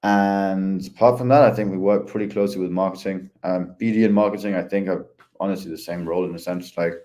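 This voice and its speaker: A young man talking casually as head of ecosystem on a crypto podcast, relaxed and full of fillers and restarts. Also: low voice, fast.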